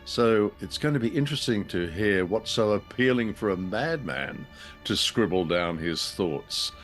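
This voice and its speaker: A man with a fairly low voice gives a dry, wry scripted introduction as co-host. Soft music plays behind him. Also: expressive, measured pace. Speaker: podcast co-host.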